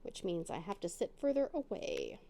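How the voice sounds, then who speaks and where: A woman's voice: mid-range voice, wildly swooping pitch, moderate pace, gravelly, warm tone, a little hiss or hum. A middle-aged woman chatting casually at her dining room table while crafting, rambling and poking fun at herself. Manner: rambling, self-deprecating, chatty. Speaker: crafting channel host.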